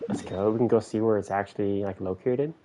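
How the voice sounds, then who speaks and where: A man's voice: on the low side, steady tone, fairly quick, noisy background. A man giving casual live gameplay commentary, musing aloud in short, slangy bursts. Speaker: game streamer. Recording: game music faintly behind. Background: video game music.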